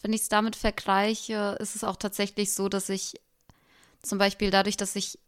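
A young woman co-hosting a podcast, talking in a reflective, casual way and pausing to search for her words. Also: medium pitch, monotone, clean tone. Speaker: podcast co-host. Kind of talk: casual conversation.

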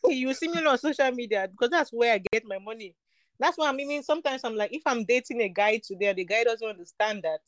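A woman's voice: mid-range voice, dry recording. A young woman from Cameroon, a beauty entrepreneur, talking candidly in an interview, emphatic and repeating her points. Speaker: guest, beauty product entrepreneur. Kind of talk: candid casual interview talk.

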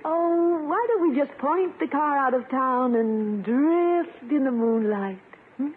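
Gushing, high-voiced radio romantic. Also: female voice, lively intonation, takes it slowly, phone-quality sound. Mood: coy, flirtatious. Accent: American. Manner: gushing, coy. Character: coaxing, romantic woman angling for a husband. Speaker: actress in a radio comedy. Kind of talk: 1950s American radio comedy acting. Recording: thin, faintly noisy 1950s radio recording.

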